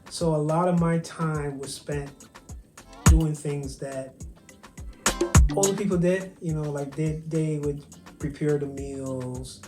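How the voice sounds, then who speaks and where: Barbadian man, flat-toned guest chef on a video call, roomy room with clear music behind. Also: a little high, takes it slowly, long pauses. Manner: soft-spoken, hesitant, many ums and restarts. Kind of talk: virtual interview, casual storytelling.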